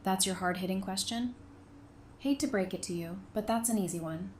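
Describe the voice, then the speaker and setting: A woman's voice: medium pitch, normal speed, slight background noise, natural voice. A woman playing a guarded but compassionate survivor in an audio drama, speaking in a dry, blunt way. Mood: dry, challenging.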